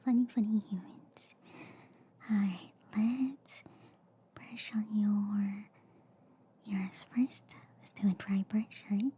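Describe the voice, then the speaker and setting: Woman as alien girl doctor for ASMR roleplay: very mellow breathy whisper, slow, reassuring, slightly shady. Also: medium pitch, lightly acted. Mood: soothing, reassuring. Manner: soothing, hesitant, repeats reassurances softly. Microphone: close ASMR microphone. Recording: faint background noise, close-up ASMR.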